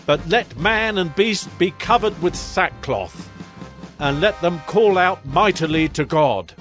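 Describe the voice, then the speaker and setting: A man voicing a royal herald who reads a stern decree in a slow, formal tone. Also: a little high, a bit bright. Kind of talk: audio drama acting.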